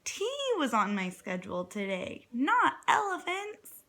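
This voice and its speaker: A woman's voice: high-pitched, wildly swooping pitch, unhurried, pushed and bright, heavily acted. A woman voicing a fussy, practical male pig from a storybook, easily flustered and grumbling. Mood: panicked. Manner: muttering, grumbling, exasperated. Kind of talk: storybook character voice.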